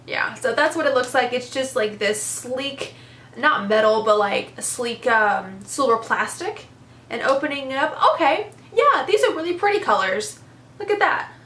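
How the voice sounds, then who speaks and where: A young woman with a congested voice chats eagerly through a makeup review, piling on "super" and "very." Her voice sits a little high. The room has a noticeable echo. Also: expressive, normal speed, fairly bright tone. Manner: chatty, enthusiastic, lots of "super" and "very". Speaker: beauty vlogger. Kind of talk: casual product review chat.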